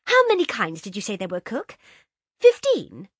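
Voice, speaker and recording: An eager well-bred young lady, gushing and lifted high in pitch, as a woman reader puts her on. A faint huskiness and a close, dry sound. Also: huge pitch swings, normal speed, no room sound. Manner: gushing, bright.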